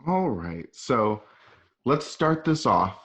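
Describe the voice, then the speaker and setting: A man's voice: mid-range voice, very animated, slow, fairly bright tone. A man narrating scene directions in a dramatic scripted reading. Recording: dull lo-fi home call audio.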